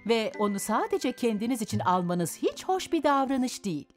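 A woman voicing a gentle, sing-song adult ice cream seller in a children's cartoon dub. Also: on the high side, very animated, normal speed, faint music behind. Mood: gently admonishing.

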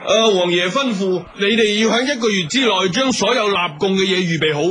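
High-pitched proud Mongolian champion. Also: male voice, fairly quick, a bit bright, slight background noise. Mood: assured. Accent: Hong Kong Cantonese. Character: proud young male Mongolian champion horseman and royal guard. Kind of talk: Cantonese radio drama acting.

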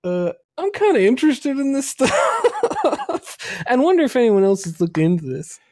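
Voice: sits high, wildly swooping pitch, moderate pace, darkish tone. A panelist on a comedy podcast, casually introducing readers and reading posts aloud.

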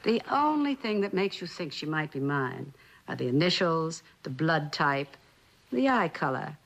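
A woman's voice: deep voice, very animated, measured pace, vocal fry, exaggerated voice. A woman playing a cold, proud, well-to-do older mother in a mid-century TV drama, clipped and formal. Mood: cold, insistent.

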